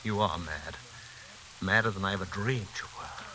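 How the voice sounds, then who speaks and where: A man's voice: low voice, moderate pace, sharp tone. An actor playing a desperate, unhinged family man in an asylum, with intense, overwrought 1940s radio suspense delivery. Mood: grim, haunted. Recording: noisy, hissy old radio recording with rain.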